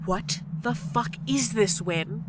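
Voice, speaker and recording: A woman playing an anxious, suspicious, sharp-tongued young woman in an audio drama, blunt and pressing. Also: on the high side, slow pace, heavy background noise. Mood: alarmed.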